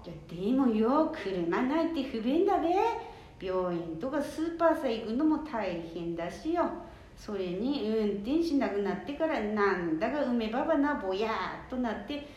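Woman acting a high-voiced nagging Nambu-dialect granny. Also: lively intonation, moderate pace, darkish tone, natural voice. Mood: fretting. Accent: Nambu dialect of northeastern Japan. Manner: complaining, blunt. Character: nagging, outspoken elderly grandmother. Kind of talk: amateur dialect radio drama. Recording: echoey room, dull lo-fi sound.

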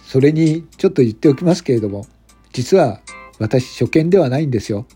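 A man's voice: medium pitch, animated, fairly quick, warm tone, husky. A man voicing a young, friendly, unpretentious company president in an amateur radio drama. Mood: friendly, welcoming. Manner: chatty, polite, unpretentious. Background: background music.

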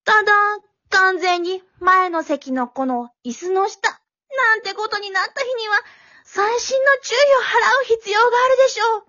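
A high, bright comic manners teacher who teaches with earnest drama and spins into rambling self-talk, voiced by a woman. This is an amateur radio play captured in a dull, lo-fi recording. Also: unhurried, exaggerated voice. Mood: instructive. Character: eccentric female manners instructor, overthinking. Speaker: manners instructor.

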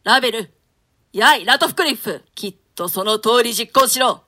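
A woman's voice: fairly high pitch, lively intonation, moderate pace, bright, forceful tone. A woman playing a wrathful, scheming nobleman in an archaic, imperious dramatic reading of Shakespeare. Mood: furious, accusing. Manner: archaic, imperious, theatrical delivery.

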